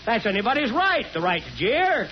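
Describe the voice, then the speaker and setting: A man playing a stubborn, folksy small-town mayor making a forceful speech in a 1940s radio drama, on a dull old recording. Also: very high voice, sing-song, fairly quick, slight background noise. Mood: earnest, defiant. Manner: folksy oratory, colloquial and forceful.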